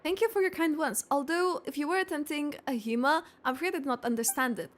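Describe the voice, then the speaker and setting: A woman's voice: high-pitched, quick pace, lightly acted. A woman voicing a courteous, eager guild receptionist from a fantasy game, in a formal, polite manner. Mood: polite, chirpy.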